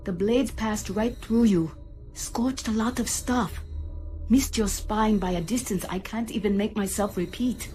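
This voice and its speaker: Woman acting a calm resolute ship ai lady for a sci-fi motion comic, precise n clinical, music behind her. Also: medium pitch, unhurried, close to an everyday voice. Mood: grave, frank. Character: calm, resolute female ship's artificial intelligence guiding a wounded crewman.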